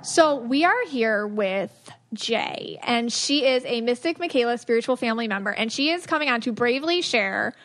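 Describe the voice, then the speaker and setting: Chatty higher-voiced woman host. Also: animated, moderate pace, a bit bright. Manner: chatty, many fillers and laughs. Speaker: podcast co-host. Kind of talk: casual chat.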